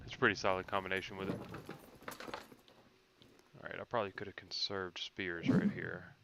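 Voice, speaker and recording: A man with a fairly low voice talks through a video game as he plays. He thinks aloud and explains tactics in a casual streamer's style. Clear background noise runs behind his voice. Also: little pitch movement, moderate pace. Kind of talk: casual live gameplay commentary.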